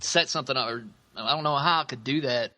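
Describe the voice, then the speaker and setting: A man talks as a podcast co-host in a medium-pitched voice with a very bright, forceful edge. His delivery is informal and folksy, stopping and starting as he chats. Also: fast, low-quality recording. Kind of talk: casual chat.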